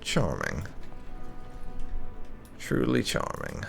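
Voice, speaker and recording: A man's voice: low voice, huge pitch swings, very slow, creaky, a bit bright, soft background music. A man doing let's play commentary with light roleplay, in a dry, sarcastic manner. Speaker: gaming video host.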